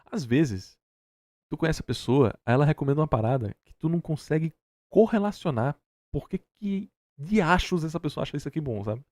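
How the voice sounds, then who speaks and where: Brazilian man, mellow voice, dry sound. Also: on the high side, expressive, brisk, no echo. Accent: Brazilian Portuguese. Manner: emphatic, informal and slangy. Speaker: reaction stream host. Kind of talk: casual opinionated commentary.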